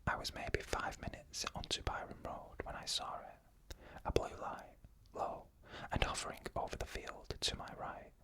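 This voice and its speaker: An unvoiced ASMR whisper with a crisp, bright edge carries slow, eerie story narration. The phrases come short and clipped, hushed right up close.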